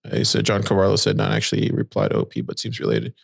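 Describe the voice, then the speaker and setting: A man co-hosting a casual podcast, reading posts aloud and chatting in a relaxed, rambling way. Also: fairly low pitch, fast, vocal fry, no room sound, clean studio sound. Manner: relaxed, rambling, reads quotes aloud with fillers. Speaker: podcast co-host. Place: cold room by a window.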